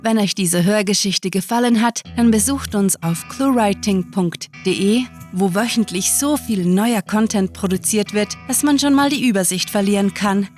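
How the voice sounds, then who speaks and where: A woman's voice: medium pitch, moderate pace, few pauses, a little mellow, soft background music. A woman hosting a podcast, reading a scripted outro to listeners. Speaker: podcast host.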